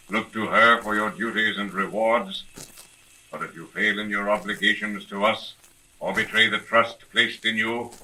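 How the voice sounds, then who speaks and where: An actor playing a stern, mysterious older father who speaks as an unseen avenger, slow and commanding, in 1930s radio drama style. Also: fairly low pitch, pushed and bright. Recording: noisy, clicking vintage radio recording.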